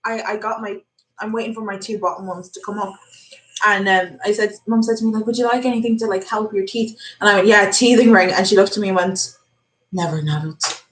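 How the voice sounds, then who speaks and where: A woman's voice: medium pitch, fairly even intonation, brisk, roomy sound, low-quality recording. A young woman with a slight lisp, chatting playfully with family. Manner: giggly, playful, self-mocking. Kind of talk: casual family chat.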